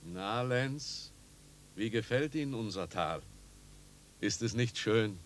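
Low-voiced, fatherly village pastor. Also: animated, slow. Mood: cheerful, proud. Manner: fatherly, earnest, exhorting. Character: kindly, steadfast village pastor, fatherly and reassuring. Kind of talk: 1950s German radio drama acting. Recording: old radio recording with hiss and hum.

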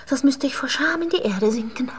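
An actress playing an anxious, coy married Viennese lady in a radio drama, hesitant and breathy, with an Austrian accent. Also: high voice, lively intonation, a little fast, slight background noise. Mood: ashamed, anxious.

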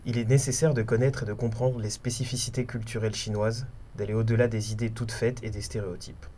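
A man's voice: lowish voice, level tone, brisk, warm tone, slight background noise. A man co-hosting a radio programme, reading scripted announcements and descriptions aloud. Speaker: radio co-host.

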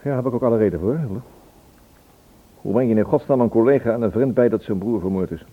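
An actor in a Dutch radio play, playing a worried, impatient British police inspector who speaks curtly. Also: male voice, medium pitch, quick pace, natural voice. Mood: worried, businesslike. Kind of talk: Dutch radio drama acting. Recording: muffled lo-fi old radio recording.

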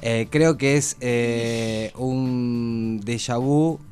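A man's voice: mid-range voice, steady tone, extremely slow, loud music behind the voice. A man with an Argentine accent chats casually, feeling his way tentatively through his thoughts. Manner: hesitant, tentative phrasing. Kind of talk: casual conversation.

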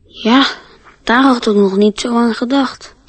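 An earnest little boy in a radio play. The voice is high and childlike, curious and trusting. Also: a little slow, breathy edge, no echo. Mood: anxious. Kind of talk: radio drama acting.

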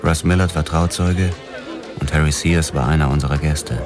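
An actor playing a brooding, world-weary detective who narrates a radio drama in a measured, sombre manner. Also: male voice, very deep voice, fairly even intonation, over music, a crowd in the background. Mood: reflective. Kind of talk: scripted first-person radio drama narration.

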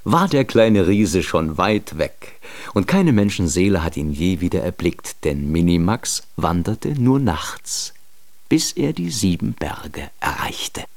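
A man's voice: deep voice, very animated, slow, darkish tone, dry recording, full character voice. A man narrating a children's radio play as a storyteller, reading scripted prose.

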